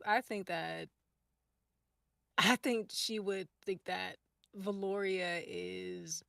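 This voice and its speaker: A woman's voice: mid-range voice, a bit bright, no room sound. A woman in casual conversation, thinking aloud a little hesitantly. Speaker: podcast cast member. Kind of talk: casual chat.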